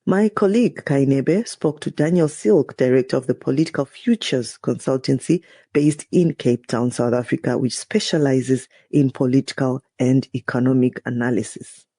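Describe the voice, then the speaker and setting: A man's voice: mid-range voice, normal speed, warm tone, slightly rough, no room sound. A man hosting a news podcast, reading a scripted introduction.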